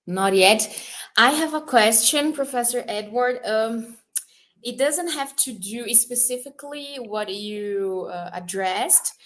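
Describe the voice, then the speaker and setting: A woman whose first language is Portuguese asks a scholarly question at an academic event, hesitating and correcting herself. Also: on the high side. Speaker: event moderator and student.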